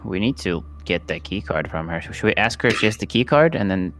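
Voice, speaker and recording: A man's voice: low voice, animated, fast, a bit bright. A man talking casually as a player in a tabletop roleplaying game. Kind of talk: casual chat.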